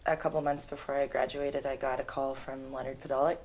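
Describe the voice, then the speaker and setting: A young woman from Canada, a singer-songwriter, chatting in an interview and telling stories in a lively, laughing way. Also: a bass voice, quick pace, a little hiss or hum. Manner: chatty, animated storytelling with laughs. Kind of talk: casual interview.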